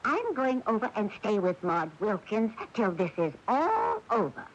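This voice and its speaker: A fed-up, huffy housemate in a radio mystery. Her pitch swoops about, and the recording is dull with a faint noisy bed. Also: huge pitch swings, measured pace. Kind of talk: radio mystery drama acting.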